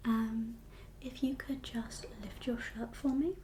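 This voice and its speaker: A woman whispering as a doctor in an ASMR exam roleplay, giving gentle, reassuring instructions in a breathy voice. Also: a little high, fairly even intonation, slow, slight background noise. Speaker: ASMR performer playing a doctor.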